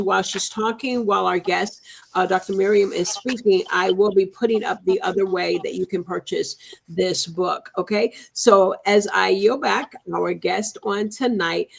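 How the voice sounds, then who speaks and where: Gushing woman host with a gravelly edge. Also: lowish voice, rough recording. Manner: effusive, repeats thanks, lots of uh fillers. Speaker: talk show host. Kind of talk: casual book talk interview.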